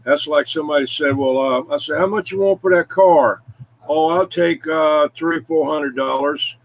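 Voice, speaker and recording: A man's voice: on the high side, moderate pace. A man chatting casually as host of a CB radio show, folksy and full of radio lingo. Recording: background noise.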